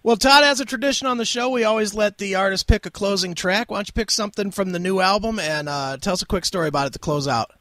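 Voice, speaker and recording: A man's voice: high voice, fast talker, hardly any pauses, bright, forceful tone, no room sound. A male radio host interviewing a guest, asking questions in an upbeat, enthusiastic way.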